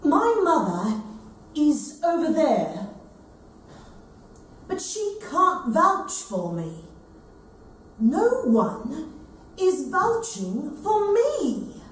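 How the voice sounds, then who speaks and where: A woman's voice: sits high, exaggerated voice. A woman playing a pleading, hesitant young girl in a staged reading, in a distant, very echoey, noisy room.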